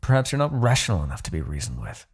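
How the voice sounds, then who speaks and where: A man's voice: deep voice, sing-song, fast talker, husky, heavily acted. A man playing a smug, possessive demon king in an ASMR roleplay, speaking with haughty, theatrical formality. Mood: frustrated, exasperated. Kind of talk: ASMR roleplay, dramatic character acting.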